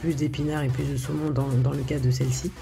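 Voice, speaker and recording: A woman's voice: extremely low pitch, flat delivery, quick pace, vocal fry, music in the background. A woman talking casually over a video of her home cooking, hesitant, with lots of filler sounds and restarts. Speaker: home cook showing her recipes.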